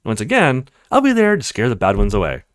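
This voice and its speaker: A loyal, protective young man from a visual novel, acted out by a male reader, earnest and blunt as he reassures. The medium-pitched delivery moves very freely up and down, recorded dry. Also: wildly swooping pitch, fairly quick, dry recording. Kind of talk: visual novel character read-aloud.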